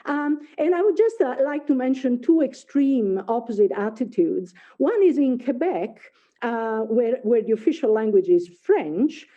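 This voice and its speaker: A woman's voice: fairly high pitch, expressive, unhurried. A middle-aged woman from Italy with an Italian accent, a native Italian speaker giving an academic talk over a video call, hesitant with many fillers and restarts. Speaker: invited speaker, linguist. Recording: phone-like video call audio.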